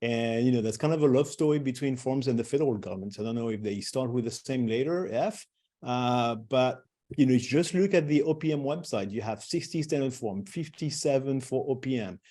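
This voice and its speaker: Middle-aged male presenter: mellow, relaxed tone, mid pitch, talks loosely with fillers and restarts. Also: fairly quick. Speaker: webinar presenter. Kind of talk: webinar presentation.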